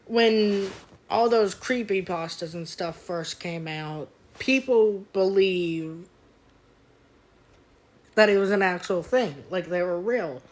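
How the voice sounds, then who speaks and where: An eighteen-year-old woman with a youthful, childish-sounding voice, chatting casually and rambling on a gaming stream, with lots of fillers and throat clearing. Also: medium pitch, takes it slowly.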